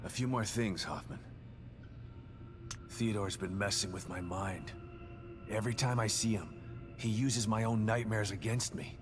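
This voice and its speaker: An actor playing a grim, guilt-ridden middle-aged survivor in a video game, with a terse, brooding delivery that often drops to a murmur. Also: male voice, on the low side, measured pace, long pauses, lightly acted. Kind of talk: cinematic video game acting. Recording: music under the dialogue. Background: music.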